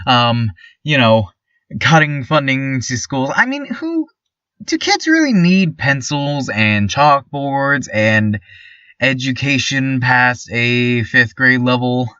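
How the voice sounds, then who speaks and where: A man playing a chipper, nervously obedient small-town radio host, stammering politely through a deadpan surreal monologue. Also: mid-range voice, smooth, dry recording, recorded from a distance, dull audio. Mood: nervous, placating. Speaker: local radio station host.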